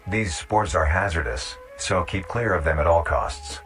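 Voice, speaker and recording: Very deep-voiced man narrating over music. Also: animated. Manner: measured, instructional. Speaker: narrator of a horror nature-guide video. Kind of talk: scripted documentary-style narration.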